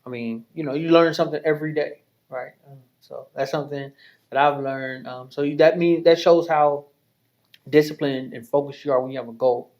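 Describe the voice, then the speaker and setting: A man's voice: on the high side, fairly even intonation, fairly quick, dull audio. Chatty encouraging podcast host man. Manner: chatty and encouraging, with many "right?" and "you know" tag questions. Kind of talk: casual interview hosting.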